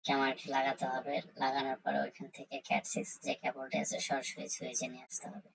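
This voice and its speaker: A woman giving an informal technical tutorial, explaining step by step in a halting, repetitive way. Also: a bass voice, little pitch movement, vocal fry, crowd noise, poor audio. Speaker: tutorial host.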